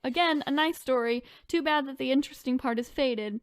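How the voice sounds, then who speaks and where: A woman's voice: high voice, a little fast, smooth, no echo. A woman co-hosting a podcast, reading online stories aloud and chatting in an informal, conversational way. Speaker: podcast co-host.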